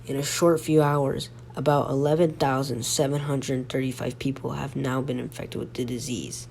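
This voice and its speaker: A man's voice: medium pitch, flat delivery, vocal fry, a little mellow, lightly acted. Man playing an ailing radio news host, noisy recording. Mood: weary, unwell. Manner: halting, stumbling, breaking off to apologize. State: sick, coughing. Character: ailing male local radio news host, dutiful but fading. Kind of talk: amateur radio news bulletin acting. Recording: noisy home recording with hum.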